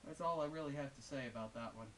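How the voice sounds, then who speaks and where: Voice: mid-range voice, fast talker, fairly bright tone. A female-sounding game reviewer giving casual, unscripted and opinionated commentary in a conversational way with wry asides. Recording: hissy, distant microphone.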